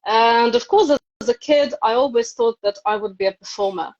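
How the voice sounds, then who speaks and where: A woman's voice: on the high side, moderate pace, darkish tone. A woman in her thirties from Ukraine, a native Ukrainian speaker with a Ukrainian accent, chatting casually as an interview guest on a video call. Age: 30s. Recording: dull, lo-fi video live stream.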